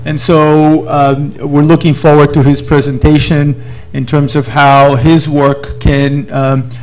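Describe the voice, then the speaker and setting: Male host, gravelly mid-range voice, halting formal introduction in a hall. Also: fairly high pitch, level tone, soft background music. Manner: hesitant, many filler sounds.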